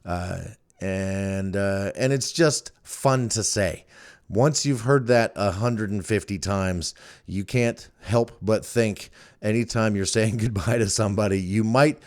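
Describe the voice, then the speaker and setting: A man's voice: on the low side, slow. A man hosting a podcast solo in casual, chatty talk. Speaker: podcast host.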